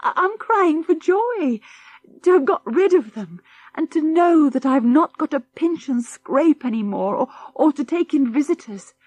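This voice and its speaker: A tired, kindly farm wife speaks tenderly to the children, played by a woman reading. Her voice sits a little higher and brims with emotion. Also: animated, slow, no room sound. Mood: tearful. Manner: soft, emotional, motherly.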